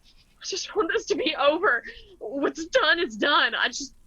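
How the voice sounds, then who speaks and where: An actress playing a grieving, gentle young mountain woman in a radio drama, halting and sorrowful, with an Appalachian accent. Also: sits high, big pitch swings, muffled recording, full character voice. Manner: soft-hearted, sorrowful, halting.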